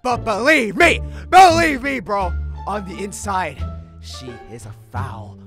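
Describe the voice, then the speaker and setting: Man voicing a frantic, high-pitched cartoon hedgehog guy. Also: lively intonation, slow, music in the background, full character voice. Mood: exasperated ranting. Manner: overdramatic, stammering, repeats phrases. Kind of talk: comic dub cartoon acting.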